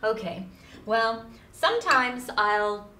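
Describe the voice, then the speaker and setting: A woman playing a coy, demure housewife speaking deliberately in a comedy sketch. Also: on the high side, big pitch swings, pushed and bright, roomy sound, full character voice. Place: small indoor room with window.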